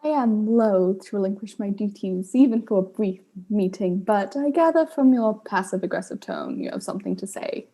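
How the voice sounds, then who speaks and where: A woman's voice: mid-range voice, normal speed, hardly any pauses, mellow, close to an everyday voice. A woman playing a stiff, wordy alien tree crew member in a comic audio drama, formal and haughty. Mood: formal, aggrieved.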